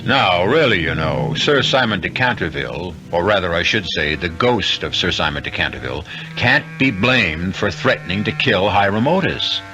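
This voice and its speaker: A man narrating a 1970s radio drama as host, with wry, deliberate asides to the listener. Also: sits low, expressive, pushed and bright. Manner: theatrical, wry, deliberate phrasing. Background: music.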